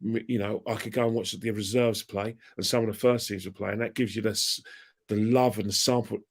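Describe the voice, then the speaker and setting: A low-voiced man rants in a heated, casual chat. His voice sits deep, and he throws in colourful insults as he goes on at length. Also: fairly quick, breathy edge. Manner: animated, ranting, colourful insults. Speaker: fan channel guest.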